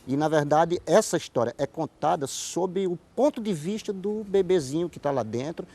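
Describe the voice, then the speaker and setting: A man's voice: fairly high pitch, lively intonation, moderate pace, mellow. A man with a Northeastern Brazilian accent giving conversational interview answers, explaining things and repeating words as he searches for phrasing. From Paraíba, northeastern Brazil. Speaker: guest, writer and playwright.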